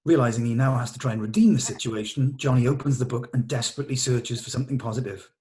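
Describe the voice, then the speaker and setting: Guy reading out stage directions from a script, average pitched voice. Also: fast talker, warm tone. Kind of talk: scripted narration.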